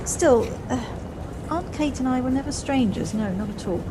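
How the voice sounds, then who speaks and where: A woman's voice: high-pitched, animated, moderate pace. A woman acting a flustered, wistful middle-aged woman in an audio play, with hesitant, reflective speech that repeats words. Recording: noisy home recording on personal device.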